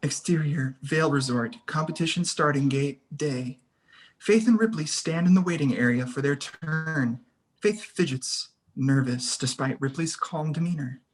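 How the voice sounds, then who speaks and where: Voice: mid-range voice, unhurried. A person reading scene headings and stage directions as scripted narration for a screenplay table read.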